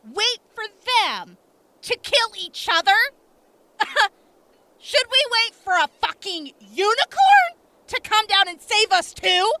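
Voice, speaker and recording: A woman's voice: very high-pitched, sing-song, slow, bright, forceful tone, exaggerated voice. An actress playing a brash, foul-mouthed, sarcastic young woman fighter in an audio drama. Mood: mocking. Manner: mocking, incredulous. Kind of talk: post-apocalyptic audio drama. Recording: very noisy background, clicks.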